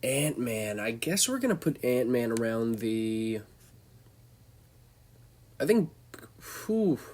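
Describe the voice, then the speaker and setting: Young male host, stuffed-up from a cold, unhurried, ad-libbed ranking chat. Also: medium pitch, sing-song, a little mellow. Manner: loose, off-the-cuff, thinking aloud. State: congested from a cold. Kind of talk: casual tier-list commentary.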